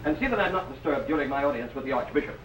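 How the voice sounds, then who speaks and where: Actor as imperious, scheming medieval king, very animated. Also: mid-range voice, very fast, loud music behind the voice, close to an everyday voice. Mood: cold, scheming. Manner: imperious, pompous, commanding. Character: arrogant, tyrannical, scheming medieval English king. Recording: noisy 1950s television soundtrack.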